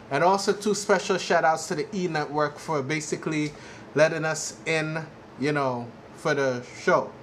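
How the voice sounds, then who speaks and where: A man giving casual, rambling fan commentary in a halting, repetitive way, often leaning on "you know". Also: a little high, a bit bright. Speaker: fan vlogger giving a TV show reaction. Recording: clearly noisy amateur recording.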